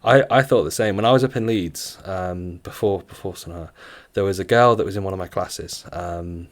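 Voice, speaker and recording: A man's voice: low-pitched, brisk. Chatty young man. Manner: animated storytelling, swears casually. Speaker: podcast co-host. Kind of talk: casual chat.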